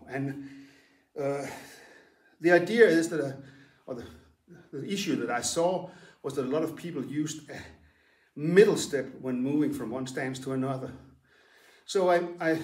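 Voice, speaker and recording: A man's voice: mid-range voice, darkish tone. Man in an echoing dojo. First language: Danish. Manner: halting and plain, with pauses as he moves between stances. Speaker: karate instructor. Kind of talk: talking through a stance demonstration. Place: his own karate dojo, large room, indoors. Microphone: camera some distance away while he moves. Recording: very echoey hall, voice far from the mic.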